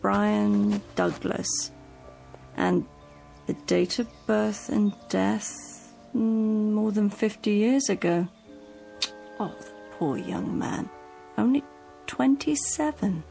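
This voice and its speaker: A woman's voice: mid-range voice, slow, gravelly, warm tone. An actress playing a fussy, fretful woman who looks after an invalid in a vintage television drama. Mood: wary, curious. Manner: scolding, fretful. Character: fussy, exasperated female companion to an invalid. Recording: vintage TV soundtrack, faint music behind.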